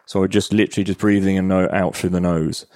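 A man's voice: low-pitched, little pitch movement, fast talker, a little mellow, no room sound, studio quality. A man who is a guest on a podcast, talking enthusiastically in rambling bursts, with frequent restarts. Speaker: guest, movement coach. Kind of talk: conversational podcast interview.

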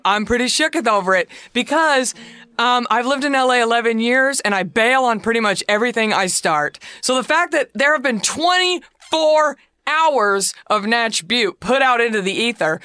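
Deep-voiced, bright, chatty woman host. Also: no room sound, clean studio sound. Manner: chatty, joking, sarcastic asides. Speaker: podcast host. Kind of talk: solo podcast chat.

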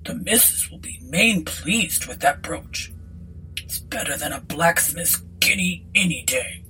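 A woman's voice: sits low, lively intonation, unhurried, bright, forceful tone, faint music behind, full character voice, rough recording. A woman voicing a plain-spoken rustic English landlord with homely, puzzled country phrasing in a storybook reading. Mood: pleased.

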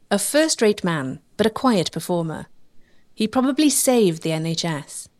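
A woman giving measured, scripted narration for a history documentary. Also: medium pitch.

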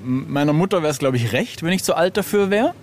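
A man's voice: a little high, animated, brisk, a little mellow. A middle-aged man chatting casually as an interview guest, hesitant and self-ironic, often searching for his words. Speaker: interview guest, illustrator of record covers.

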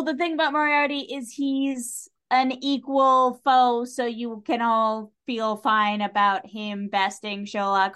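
A woman's voice: on the high side, fairly bright tone. A woman talking casually as a podcast guest, chatty and opinionated about stories, often putting emphasis on her points. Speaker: podcast guest, writer and director. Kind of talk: casual literary discussion.

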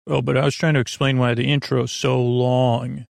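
A man hosting a sleep podcast in a creaky voice, with a meandering, halting monologue full of repeats and tangents. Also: mid-range voice, little pitch movement, no room sound, studio quality. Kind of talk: rambling bedtime monologue.

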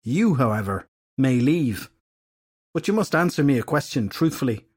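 A man's voice: mid-range voice, big pitch swings, normal speed, darkish tone, husky. A man voicing a fierce, proud fairy goddess who speaks haughtily. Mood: imperious. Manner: haughty, imperious.

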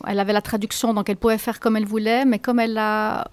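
A woman author being interviewed, answering in a hesitant way with many pauses and restarts. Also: medium pitch, level tone, smooth. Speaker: author guest.

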